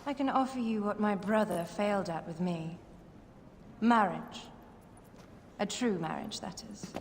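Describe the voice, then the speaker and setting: Actress as ambitious young queen, mid-pitched, stately and cutting, game cinematic, faint background noise. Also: unhurried, big-room sound, lightly acted. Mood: persuasive. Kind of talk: dramatic video game cutscene acting.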